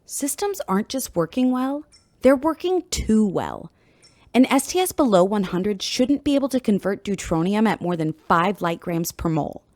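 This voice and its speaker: A woman playing a brisk, wry spaceship chief engineer talking technically in a sci-fi radio drama interview. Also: medium pitch, big pitch swings, darkish tone, no room sound, natural voice. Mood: wry amazement.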